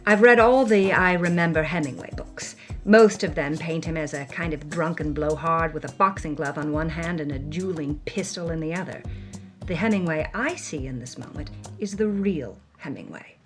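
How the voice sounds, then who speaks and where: A woman's voice: deep voice, moderate pace, soft background music, close to an everyday voice. An actress playing a defiant, worldly woman on the run, telling her story in a vivid, hard-boiled first-person style. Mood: reflective. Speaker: actress narrating as a character. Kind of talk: dramatic first-person scripted narration.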